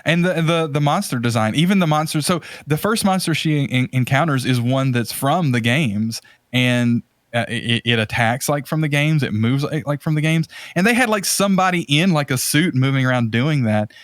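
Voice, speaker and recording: A man with a mid-pitched voice talks as a podcast host, recorded dry with almost no room sound. He gives casual, opinionated commentary on films, rambling and circling back on phrases as he drives each point home emphatically. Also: normal speed, no room sound.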